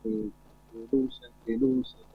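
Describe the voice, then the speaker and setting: A man hosting a live interview, speaking haltingly and repeating phrases. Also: medium pitch, flat delivery, husky, dry recording. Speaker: radio host. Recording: tinny phone-like lo-fi sound.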